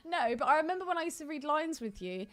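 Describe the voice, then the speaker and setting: Woman, highish voice, animated intonation, casual film chat, blunt and sweary, teasing, dry recording. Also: quick pace, no room sound. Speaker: podcast co-host. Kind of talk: casual film commentary banter.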